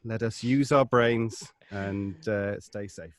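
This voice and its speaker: A man chatting on a live podcast in a precise, gently pedantic way. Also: fairly low pitch, slow pace, no room sound, clean studio sound. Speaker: voice actor guest on a live podcast. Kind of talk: casual group chat.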